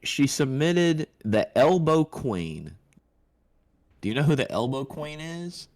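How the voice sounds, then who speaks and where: A man's voice: fairly high pitch, very animated, slow, a little mellow. A man co-hosting a podcast in casual sports chat, teasing and quizzing his partner over fight predictions. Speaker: co-host of an MMA podcast.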